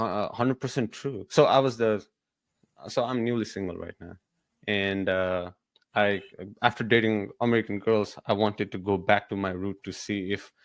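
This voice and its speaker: A man with a fairly low voice hosts a casual podcast, talking freely and asking eager follow-up questions. His voice is recorded close and dry, with no room sound. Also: no echo. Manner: chatty, asks follow-up questions. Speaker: podcast host.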